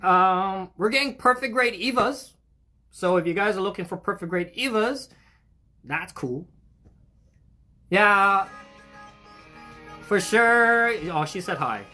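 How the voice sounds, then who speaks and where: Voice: mid-range voice, lively intonation, slow pace, long pauses, sharp tone, soft background music. A young adult hosting a hobby shop livestream in a casual, upbeat way, chatting with viewers, greeting them by name and reading out their comments, with lots of fillers and restarts. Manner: friendly and effusive toward viewers.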